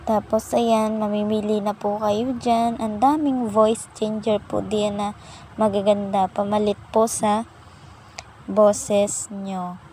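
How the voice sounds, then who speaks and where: A woman's voice: mid-range voice, flat delivery, takes it slowly, warm tone, a little hiss or hum, rough recording. A woman hosting an app tutorial, politely and patiently walking viewers through each step. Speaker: tutorial channel host.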